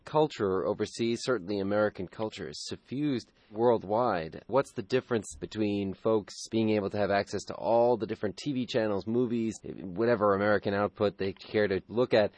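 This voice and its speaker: Male radio host, low voice, dry close sound, probing conversational questions. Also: moderate pace, few pauses, darkish tone, no room sound. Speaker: radio interviewer. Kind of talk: radio interview.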